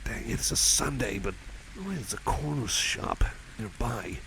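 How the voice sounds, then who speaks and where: A man's voice: medium pitch, sing-song, normal speed, gravelly, bright, forceful tone, heavily acted. A man playing a shy, caring cyborg friend in an ASMR roleplay, speaking hesitantly with stammers and earnest reassurance. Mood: casual, sheepish. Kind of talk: ASMR personal attention roleplay. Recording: faint background noise and ambience.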